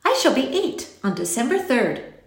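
A woman's voice: fairly high pitch, very animated, moderate pace, echoey room, pitched above the performer's own voice. A woman voicing a cheerful, chatty and candid seven-year-old girl in a storybook. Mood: eager. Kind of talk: audiobook character voice.

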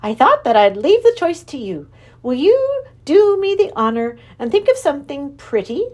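A woman voicing an exuberant, lovesick male creature king with antlers, who lisps and gushes in a high voice. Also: normal speed. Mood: gushing. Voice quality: lisping. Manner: high, gushing, excitable. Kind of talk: storybook reading.

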